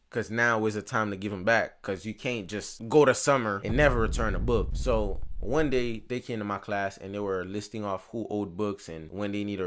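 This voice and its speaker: A young man with a Haitian accent, telling a casual, slangy story in a solo monologue with animated asides. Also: lowish voice. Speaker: monologue host.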